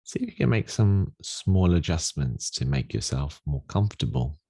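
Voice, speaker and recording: A man leading a guided meditation in a gentle, unhurried, soothing manner with long pauses. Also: a bass voice, lively intonation, vocal fry, slightly rough, no room sound, studio quality. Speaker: meditation teacher.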